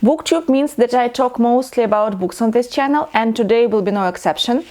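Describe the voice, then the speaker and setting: Woman, thirties, Ukrainian accent, slightly high voice; hesitant, thoughtful book vlogger. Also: expressive, a little mellow. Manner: careful, hesitant with many pauses and fillers. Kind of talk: casual talk to camera.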